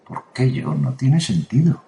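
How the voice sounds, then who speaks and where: A man's voice: medium pitch, animated, measured pace, vocal fry, warm tone, a little hiss or hum. A man from playing a frightened patient in a psychiatric ward who sounds anxious and shaken. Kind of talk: audio drama acting. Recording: roomy echo.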